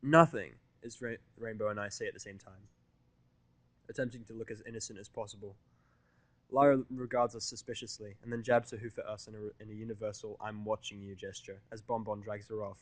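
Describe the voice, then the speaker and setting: A man's voice: fairly low pitch, flat delivery, fairly quick, no echo. Man narrating a story, dry close sound. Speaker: audiobook-style fan fiction narrator. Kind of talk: scripted first-person story narration.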